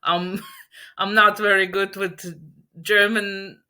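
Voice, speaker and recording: A woman's voice: medium pitch, level tone, slow pace, sharp tone. A woman from Latvia, a native Russian speaker, chatting as an interview guest in English, hesitant and laughing often. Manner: hesitant, many fillers and restarts, laughs often. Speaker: podcast guest. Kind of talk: casual interview chat.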